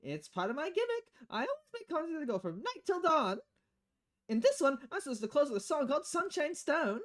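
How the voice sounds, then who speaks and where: A woman's voice: high voice, very animated, a little fast, voice pushed up. A woman voicing a bubbly, eager young female idol singer from a visual novel game, chirpy and theatrical. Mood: cheerful.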